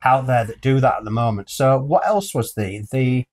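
Man, British accent, fifties, middling pitch, casual co-host chat, indoor room. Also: animated, normal speed. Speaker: co-host of a hi-fi news show. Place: small furnished room indoors.

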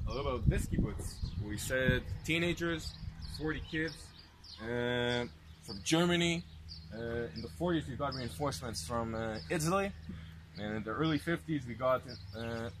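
A young tour guide talks to a seated group outdoors, explaining things and asking them questions. His voice is mid-pitched and close to the camera. Birds and traffic hiss behind him. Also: normal speed. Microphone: handheld camera held close by the filmer. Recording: outdoor noise, birds and passing vehicles.

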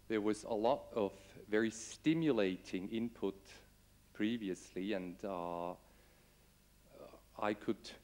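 Man, forties, lowish voice, conference speaker, table microphone. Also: a little mellow. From Switzerland. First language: Swiss German. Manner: addresses audience directly, asks questions. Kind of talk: conference presentation. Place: conference room with window.

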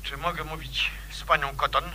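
A man's voice: fairly high pitch, little pitch movement, fairly quick, pushed and bright, slight background noise. An actor playing a curt, hesitant male caller on the telephone in a radio play. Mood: evasive. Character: brusque male caller asking after a woman.

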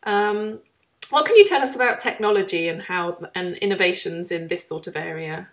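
A woman's voice: fairly low pitch, lively intonation, moderate pace, poor audio. A woman hosting an online course interview, chatty with frequent "um"s as she introduces and questions her guest. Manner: friendly, chatty, many "um"s.

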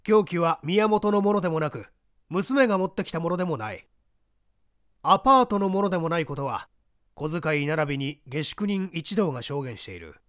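A man's voice: a little high, lively intonation, a bit bright, dry recording, clean studio sound. Male reader as seasoned older investigator, explains and tests the young man, storybook mystery. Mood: explaining. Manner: measured, testing, explanatory.

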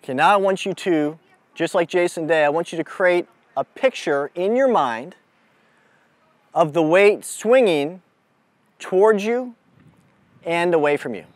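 A man speaking as a mental toughness coach, giving a deliberate, instructive motivational lesson to a group out in the open. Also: sits high, animated, no room sound. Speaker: mental toughness coach leading a youth clinic. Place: outdoors on an amphitheater lawn.

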